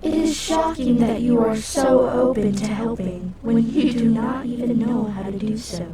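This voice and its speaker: Actress as eerie polite alien creature, radio drama, slow formal speech, distant echoing sound, murmuring crowd layered behind. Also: female voice, a little high, lightly acted. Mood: eerily apologetic. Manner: slow, formal, deliberate phrasing. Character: strange hungry alien creature, polite, apologetic, devouring.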